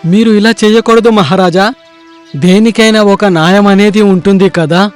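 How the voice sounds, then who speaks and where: Man as a high-voiced greedy landlord. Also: normal speed, smooth, faint music behind, lightly acted. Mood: anxious. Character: greedy, self-justifying landlord moneylender.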